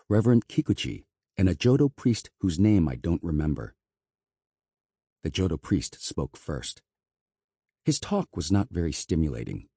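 Low-voiced guy narrating an audiobook, kinda husky, lively pitch but steady pace. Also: dry recording, clean studio sound. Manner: measured, even reading.

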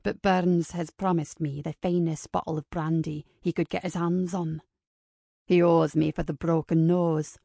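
Lady doing a rakish cynical guy from a romance audiobook, low sardonic murmur. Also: level tone, a little slow, gravelly, warm tone, dry recording, studio quality. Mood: wry, jesting. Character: cynical, jesting rakish man in a romance novel.

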